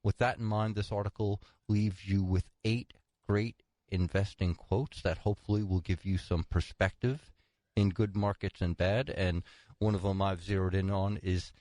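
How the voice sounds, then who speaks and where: A man's voice: deep voice, steady tone, moderate pace, dark tone, dry recording. A man co-hosting a financial talk show, moving between prepared article summaries and conversational comments. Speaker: co-host presenting financial newsletter articles.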